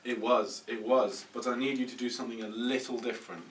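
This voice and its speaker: A man playing a flattering, coaxing film director in a comedy script table read, with an encouraging manner. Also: mid-range voice, fairly quick, lightly acted. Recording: echoey room, distant mic, faint noise.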